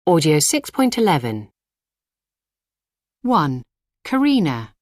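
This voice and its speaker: A woman's voice: deep voice, very animated, slow, darkish tone, no echo, clean studio sound. A woman reading out formal scripted announcements of track and item numbers for a language lesson.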